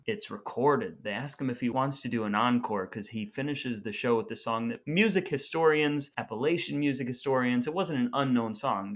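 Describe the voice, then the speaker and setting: A man co-hosting a podcast, talking through music history in a conversational, storytelling way with measured phrasing. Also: medium pitch, a bit bright. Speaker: podcast co-host.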